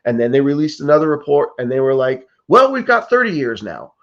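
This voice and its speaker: A man's voice: on the high side, big pitch swings, brisk, a bit bright, dull audio. Earnest, urgent man in his fifties. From United States. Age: 50s. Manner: earnest and urgent, many restarts and "like", "you know". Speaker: political candidate. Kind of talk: interview answers.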